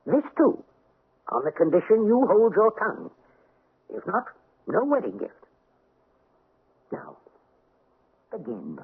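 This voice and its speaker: An actor playing a cold, vengeful count in an old radio drama, with a suave, formal, mockingly polite manner. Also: male voice, sits high, wildly swooping pitch, takes it slowly, pauses often, slightly rough, heavily acted. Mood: cold, commanding. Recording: muffled, tinny old radio recording.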